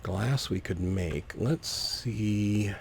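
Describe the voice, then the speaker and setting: A man's voice: fairly low pitch, very slow, vocal fry, a little mellow, slight background noise. A man giving casual, easygoing gameplay commentary, talking through his actions step by step. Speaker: let's play host.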